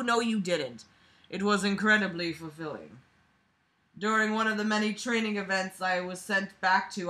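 Bright, sharp-voiced sarcastic young woman. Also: medium pitch. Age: young adult. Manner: sarcastic, profane, exasperated. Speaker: vlogger reading a book aloud. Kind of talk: read-aloud with casual running commentary. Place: small bedroom, lying on a bed. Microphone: device camera microphone at arm's length.

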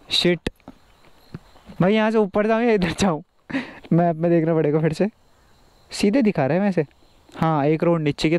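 A man's voice: fairly high pitch, a little mellow. A young man, vlogging casually and thinking aloud, with English words mixed in. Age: young adult. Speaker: motovlogger. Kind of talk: casual vlog chat. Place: outdoors on roads, riding a scooter and stopping. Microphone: action camera on helmet or handheld. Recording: noisy outdoor action-camera audio with vehicle sound.

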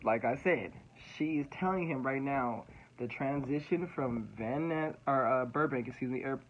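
A man's voice: mid-range voice, moderate pace. A young man in his twenties talks casually and slangily, circling back on his points as he explains. The sound is dull and lo-fi, recorded on a phone. Light hiss runs underneath. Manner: casual slangy, repeats himself. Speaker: student pilot explaining recordings. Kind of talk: casual explainer over playback.

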